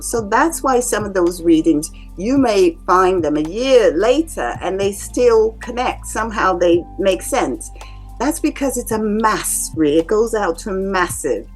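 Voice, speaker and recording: A woman who works as a psychic reader and medium, explaining in a calm, measured way to her viewers. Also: medium pitch. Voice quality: calming voice. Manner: calm, measured explaining with lively rises and drops. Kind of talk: casual explanatory talk to camera. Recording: faint music behind. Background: faint music.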